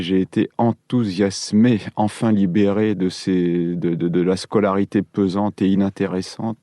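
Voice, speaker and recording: A middle-aged man, an architect, calmly recounting his path into the field as an interview guest. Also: low voice, dry recording, clean studio sound. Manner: calm, orderly storytelling. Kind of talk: reflective interview answer.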